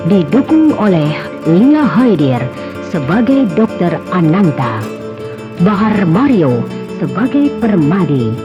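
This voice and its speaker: A woman announcer reading scripted radio drama credits in a dramatic presentational style. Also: medium pitch. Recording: dull radio recording under loud music. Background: music.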